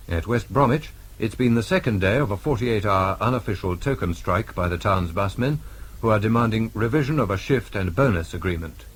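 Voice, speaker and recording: A British man reads a scripted radio news bulletin from the mid-twentieth century. His voice is on the low side and distinctly husky. The delivery is formal and measured. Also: rough recording. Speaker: newsreader.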